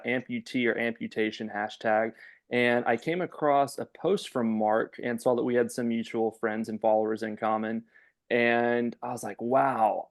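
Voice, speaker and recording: A man co-hosting a faith-based podcast, introducing a guest in a chatty way with lots of fillers. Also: medium pitch. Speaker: podcast co-host.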